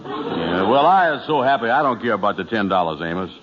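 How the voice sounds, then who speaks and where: An actor playing a slow, gullible, easily worried man in a 1940s radio comedy, with a drawling voice that stammers when nervous. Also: lowish voice, big pitch swings, crowd noise. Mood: slow easygoing. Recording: muffled old radio broadcast with faint hiss.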